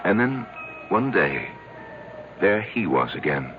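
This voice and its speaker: Anguished middle-aged husband, swooping intonation, halting delivery, muffled old radio broadcast with music behind. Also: male voice, deep voice, very animated, full character voice. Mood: brooding. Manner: halting, repeats words, anguished. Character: broken, insecure middle-aged husband, haunted and lovesick. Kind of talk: 1970s radio drama acting.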